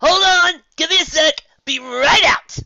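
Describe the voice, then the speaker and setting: Woman putting on an excitable high-pitched baby boy dragon. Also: unhurried, dry recording, lo-fi sound, full character voice. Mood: cheerful, hurried. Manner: emotional, exclaiming. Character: excitable young male baby dragon, anxious and affectionate.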